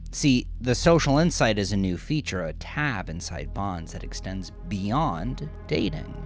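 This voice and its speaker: A man narrating a first-person horror story in a confessional, brooding, often hushed manner. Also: lowish voice. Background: music.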